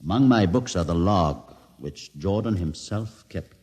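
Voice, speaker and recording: In a long, reverberant vintage radio sound, a learned mutant outcast leader. The voice is lowish and husky. He declaims slowly and grandly, pausing for effect, with solemn authority. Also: animated, darkish tone, exaggerated voice. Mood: solemn storytelling. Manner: grand, theatrical, deliberate oratory with dramatic pauses. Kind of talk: golden-age radio drama acting. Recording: reverberant old-time radio recording.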